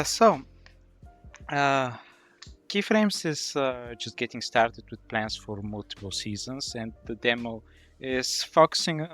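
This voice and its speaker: A podcast co-host putting questions to guests in a halting manner. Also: medium pitch, fairly bright tone. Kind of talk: interview questioning.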